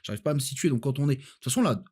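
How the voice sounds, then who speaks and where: A man's voice: mid-range voice, expressive, rapid-fire, a little mellow, husky. A man speaking as a podcast guest and property adviser, in a casual, blunt, colloquial way, often repeating himself. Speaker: guest, Dubai-based real estate adviser.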